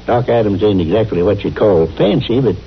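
A man's voice: fairly low pitch, a little fast, close to an everyday voice. A man playing an eager, folksy small-town hotel clerk with a rural American accent, rambling obligingly in a radio western. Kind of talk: old-time radio western acting. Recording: muffled old radio, faint hiss.

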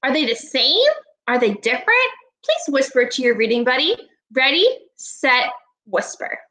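A woman in her twenties, a teacher giving a read-aloud lesson to young children in a deliberate, instructive way. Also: sits high, big pitch swings, bright, forceful tone, low-quality recording. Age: 20s. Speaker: elementary school teacher. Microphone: laptop webcam at arm's length.

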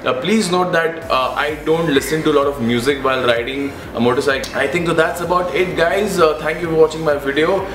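Male vlogger, twenties, Indian accent, mid-pitched voice, casual gadget review in an echoey room with noticeable background noise. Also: brisk, hardly any pauses. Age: 20s. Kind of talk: casual product review to camera. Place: indoors in a living room at home. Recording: roomy echo with clear background noise.

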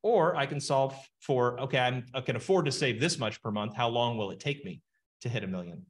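Man, 40s, financial planner running a webinar; animated explainer, mid pitch, webcam audio. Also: fairly quick. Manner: animated, explains with numbers and asides. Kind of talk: webinar teaching session. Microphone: webcam video call.

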